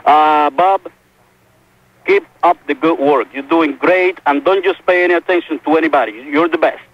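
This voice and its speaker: A man calls in nervously, hesitating often between words. His slightly high voice is dry and close, muffled by the phone line and AM radio. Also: no room sound. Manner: nervous, halting. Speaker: caller. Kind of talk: phone-in call.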